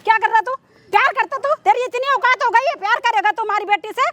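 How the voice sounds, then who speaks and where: A woman's voice: very high voice, normal speed, very noisy. A woman playing a domineering, furious mother who harangues and threatens in a repetitive melodrama style. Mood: outraged, scornful. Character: furious, domineering mother scolding daughter and servant. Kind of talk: shouted amateur melodrama acting.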